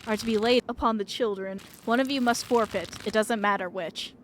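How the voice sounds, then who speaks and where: A woman's voice: medium pitch, fairly quick, a little hiss or hum, lightly acted. A woman voicing a vengeful, mournful male ghost in a video game, speaking in grave, accusing pronouncements. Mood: stern, resolved. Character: vengeful, mournful male ghost demanding repayment of stolen years.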